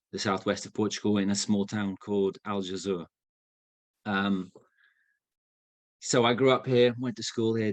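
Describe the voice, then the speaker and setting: A young man from England giving an informal talk over a video call, relaxed, with many fillers and restarts. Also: fairly low pitch, no room sound. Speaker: guest speaker. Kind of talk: informal online presentation.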